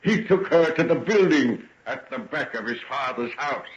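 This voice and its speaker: A sinister gentleman played by a male actor in a vintage radio play, taunting and falsely courteous, his pitch swinging dramatically. The recording is dull and old, with noise and clicks behind the voice. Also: lively intonation, natural voice. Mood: mocking. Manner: taunting, theatrical, drawn-out polite phrasing. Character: sinister, mocking gentleman, secretive and theatrically polite.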